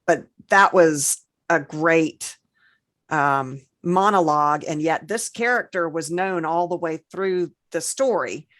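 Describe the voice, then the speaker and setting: A woman co-hosting a casual podcast discussion, talking haltingly as she thinks aloud. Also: low voice, fairly bright tone. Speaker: podcast co-host.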